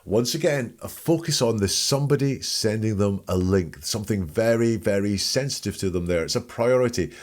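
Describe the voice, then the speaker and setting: A man's voice: fairly low pitch, animated, moderate pace, few pauses. A man giving informal, blunt and opinionated commentary as he hosts an analysis of a recording. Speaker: host analysing a recorded call.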